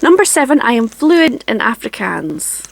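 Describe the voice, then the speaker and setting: A Scottish woman in her forties, chatting casually to camera from her home craft room in a chatty, self-deprecating way with frequent ums and soft laughs. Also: fairly high pitch, sing-song, moderate pace, gravelly, rough recording. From Scotland. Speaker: craft channel host.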